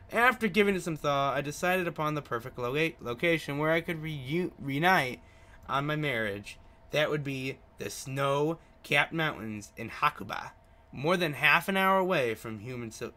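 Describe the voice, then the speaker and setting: A man's voice: a little high, sharp tone. A man reading a visual novel aloud as a gaming video host, stumbling over words and adding his own asides. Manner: stumbles over written words, rereads lines.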